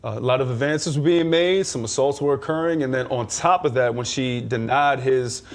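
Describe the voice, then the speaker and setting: A man's voice: medium pitch, fairly quick. Conversational man chatting on culture news. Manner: conversational, slangy, explains in detail. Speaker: panelist on a culture news game show. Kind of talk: casual opinionated chat.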